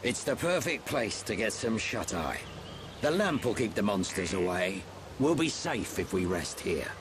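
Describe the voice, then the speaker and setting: An actor playing an older, gruff, protective mentor in a video game cutscene. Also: male voice, on the low side, very animated, measured pace, noisy background. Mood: calm, knowing. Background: background music.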